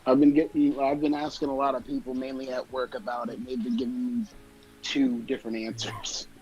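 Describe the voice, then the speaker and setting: A man calling into a livestream, chatting casually and haltingly, often restarting his sentences. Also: medium pitch, level tone, a little mellow. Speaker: call-in guest on a livestream. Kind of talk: casual chat. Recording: dull lo-fi voice call, music behind.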